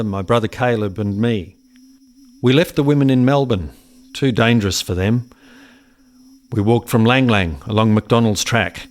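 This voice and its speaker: A slow, deliberate male voice from an actor plays a haunted settler in a radio play. He broods over his lost brother in poetic narration, at an ordinary male pitch. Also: lightly acted.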